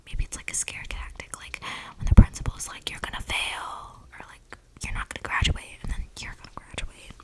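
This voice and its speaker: A breathy whisper carries this eccentric young female vampire, played by a woman in an ASMR roleplay. She rambles like a chatty loner, slangy and flippant, with deadpan asides. The microphone is close enough to catch her breaths. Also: close to an everyday voice, rough recording. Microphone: close microphone picking up breaths.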